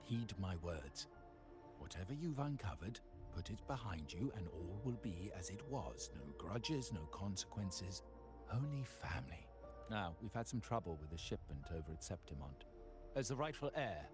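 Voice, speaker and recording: An actor playing a scheming middle-aged uncle who heads a family, smooth and quietly threatening, in a video game. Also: male voice, deep voice, very animated, moderate pace. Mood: coaxing menace. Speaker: game character. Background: game music.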